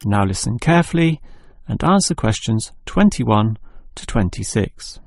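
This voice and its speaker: A man's voice: lowish voice, very animated, measured pace, creaky, dry recording, clean studio sound. A man formally reading scripted listening test instructions as an announcer. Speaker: exam announcer.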